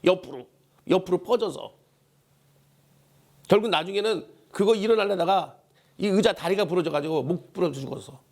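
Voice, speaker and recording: A man preaching a church sermon in a hoarse, worn voice, emphatically exhorting and repeating key phrases. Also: high voice, moderate pace, long pauses, a little mellow. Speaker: pastor preaching a Sunday sermon. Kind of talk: Korean church sermon with call-and-response prompts. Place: church service.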